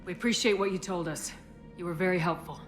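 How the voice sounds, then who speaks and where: An actress playing a composed, compassionate military commander in a video game, calm, direct and authoritative. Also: female voice, lowish voice, fast talker, faint music behind, close to an everyday voice. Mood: gentle, probing.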